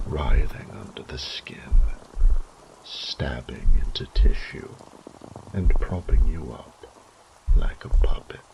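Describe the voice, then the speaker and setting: A very low, slow voice of an ancient vampire lord played by a man, murmuring with condescending menace. He is possessive and cruel in a mock-tender way, as in a horror audio drama. The tone is breathy and gravelly. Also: full character voice, low-quality recording.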